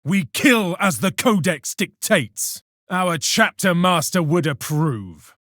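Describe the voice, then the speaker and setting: Casting: zealous armored space marine for combat game lines; higher-set voice, big pitch swings, proud, militant, scornful. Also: male voice, expressive, slow pace, fairly bright tone, no room sound, studio quality, full character voice. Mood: cold contempt. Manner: declamatory, solemn, militant, commanding. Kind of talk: video game combat voice lines, theatrical declamation.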